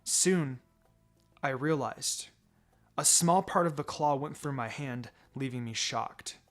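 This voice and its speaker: A man reading fan fiction as a dramatic first-person narrator, with halting pauses and background music. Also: mid-range voice. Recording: music behind the voice.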